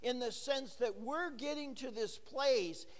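Slow, high-voiced preacher in a reverberant church. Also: male voice. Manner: conversational, jokes with the congregation, asks rhetorical questions. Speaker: pastor. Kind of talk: sermon. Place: church sanctuary. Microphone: handheld or lapel microphone, recorded for a booth.

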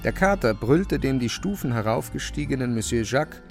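A man's voice: medium pitch, expressive, a little fast, gravelly, faint music behind. A man narrating a literary radio play, telling the scene as a scripted story.

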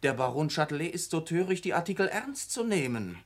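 An actor playing a worldly, cynical journalist in a German radio drama, urbane, eloquent and teasing. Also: male voice, on the high side, very animated, brisk. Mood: persuasive. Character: suave, mocking male journalist, worldly and cynical man-about-town.

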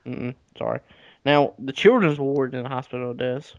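A man co-hosting a podcast, trading dry deadpan jokes in casual banter. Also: medium pitch, moderate pace, dry recording, muffled recording. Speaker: podcast co-host. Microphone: podcast mic.

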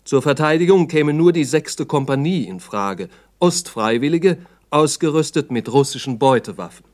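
A man's voice: on the high side, expressive, normal speed, a little mellow. A man narrating a 1960s radio play as a sober, formal, factual report.

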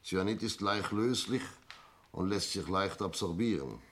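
An actor playing a shrewd police inspector in a 1960s German radio crime play, deliberate, teasing and courteous. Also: male voice, low voice, expressive. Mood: calm, explaining. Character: shrewd, theatrical male police inspector, toying with suspects.

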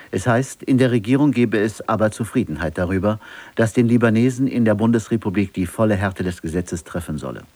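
Low-pitched German male radio newsreader, dry studio. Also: darkish tone, no room sound. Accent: standard German. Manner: measured, factual, carefully enunciated. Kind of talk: formal scripted news bulletin.

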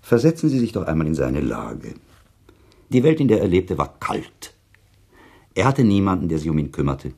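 A worldly sceptic in a literary radio drama. His extremely deep voice is gravelly and husky, and he argues with deliberate, cool irony. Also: big pitch swings, darkish tone, exaggerated voice. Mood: coolly argumentative. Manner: deliberate, argumentative, ironic. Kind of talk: German radio play, literary dialogue.

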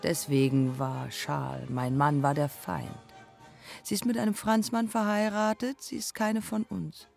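Slow, gravelly woman aviator, proud. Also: low voice, level tone, darkish tone, soft background music. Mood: bitter, reflective. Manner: measured, terse, fragmented phrasing with deliberate pauses. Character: proud, embittered dead pioneer woman aviator, haunted by rejection. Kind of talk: poetic German radio play acting.

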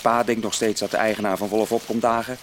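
A man's voice: fairly low pitch, level tone, fast, fairly bright tone, lightly acted. An actor playing a practical, confident teenage boy detective in a radio play, teasing and colloquial. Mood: matter-of-fact. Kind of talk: Dutch radio play acting. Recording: faintly noisy old radio play recording.

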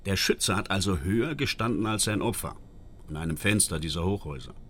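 An actor playing a matter-of-fact police forensic analyst in a radio drama, explaining things in a businesslike way. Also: male voice, low-pitched, fairly quick, fairly bright tone, a little hiss or hum. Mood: focused. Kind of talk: radio drama, technical briefing.

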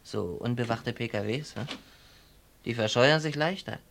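A cheeky jailbird in a radio drama. He needles and cajoles like an old chum, in an easy colloquial manner at a middling pitch, over faint noise. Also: moderate pace, creaky, fairly bright tone. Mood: teasing, chummy. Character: cocky, teasing habitual small-time thief in jail. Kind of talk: German radio play acting.

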